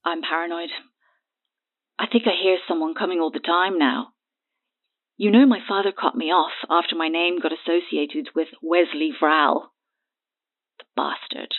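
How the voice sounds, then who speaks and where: An actress playing a frightened, paranoid woman recounting a horror in halting speech over a phone in an audio drama. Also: on the low side, fairly even intonation. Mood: paranoid, on edge, then bitter. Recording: thin, phone-like band-limited sound.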